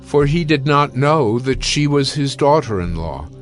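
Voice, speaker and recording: A man narrating scripture from a script in a measured, solemn manner. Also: mid-range voice, sing-song, soft background music.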